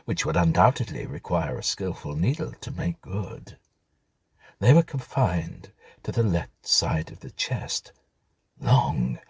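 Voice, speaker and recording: A man reading a literary ghost story as an audiobook narrator, in a measured, formal storytelling manner. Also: sits low, breathy edge, dry recording.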